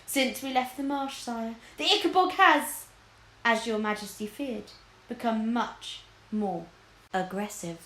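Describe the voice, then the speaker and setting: A woman voicing a cunning storybook lord who speaks in grave, theatrical flattery, in an echoey room. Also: a little high, a little slow. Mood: feigned regret. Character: cunning, flattering, scheming male lord, falsely sorrowful.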